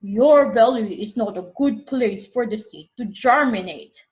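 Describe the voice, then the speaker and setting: A woman voicing a patient, friendly male farmer from a picture book, explaining with slow emphasis. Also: a little high, a little mellow, muffled recording, lightly acted.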